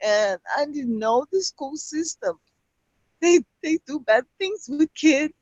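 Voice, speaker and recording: A woman with a Brazilian Portuguese accent, Portuguese her first language, calling in and speaking halting, emotional English with many pauses. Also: high-pitched, expressive, darkish tone, no room sound. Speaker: caller. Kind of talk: call-in conversation. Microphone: video call. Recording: lo-fi video call audio.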